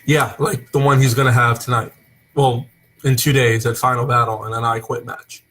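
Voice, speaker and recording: A young man hosting a casual wrestling talk show, opening with loud hype and then rambling with fillers. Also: mid-range voice, level tone, normal speed, low-quality recording. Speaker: host. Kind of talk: casual wrestling podcast chat.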